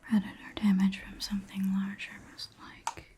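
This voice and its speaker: A woman performing an ASMR roleplay as a calm researcher, whispering clinical observations in a studious murmur and repeating words, close to the microphone. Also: medium pitch, moderate pace. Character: calm female researcher examining an abyssal creature.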